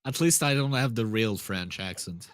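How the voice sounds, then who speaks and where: Laid-back, low-voiced male co-commentator. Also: normal speed, no room sound. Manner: relaxed, laughs readily, complains playfully. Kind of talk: casual stream commentary.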